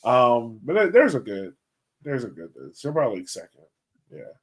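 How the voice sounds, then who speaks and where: A man's voice: medium pitch, sing-song, normal speed, husky. A man co-hosting a soccer podcast in casual chat, laid-back and thinking aloud, often trailing off. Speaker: podcast co-host.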